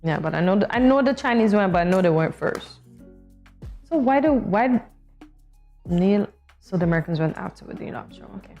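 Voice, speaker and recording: A woman's voice: medium pitch, lively intonation, brisk, long pauses, darkish tone, lo-fi sound, faint music behind. A woman co-hosting a morning show, chatting casually with playful, competitive banter. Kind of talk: casual studio chat.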